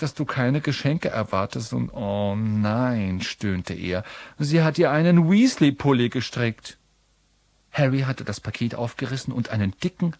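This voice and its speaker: A man's voice: medium pitch, darkish tone, no room sound. A man reading a children's novel aloud as scripted audiobook narration, voicing the dialogue lightly. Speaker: audiobook reader.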